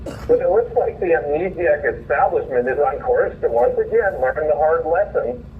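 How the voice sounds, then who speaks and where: A man's voice: a little high, lively intonation, moderate pace. A man calling in to give his earnest, indignant opinion. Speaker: caller reading own essay. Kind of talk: reading a written opinion piece aloud, then informal remarks. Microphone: phone line. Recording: muffled phone call, distant, faint noise.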